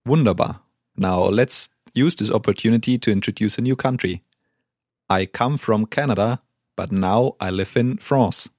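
A man with a fairly low voice teaches a language, setting sentences for a learner and correcting gently. His manner is calm and encouraging, recorded close and dry. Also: normal speed, dry recording. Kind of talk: language lesson dialogue.

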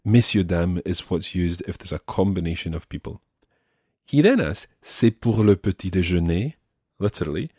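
A man teaching French, patiently explaining and repeating phrases slowly. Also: deep voice, mellow, husky, no room sound, studio quality. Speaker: language teacher.

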